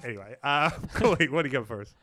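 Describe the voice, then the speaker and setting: A man's voice: medium pitch, expressive, fast, bright, forceful tone, no echo, faint music behind. An Australian man co-hosting a podcast, bantering casually with a mate in a teasing, blokey way. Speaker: podcast co-host and quizmaster. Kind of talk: casual banter between mates. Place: podcast studio.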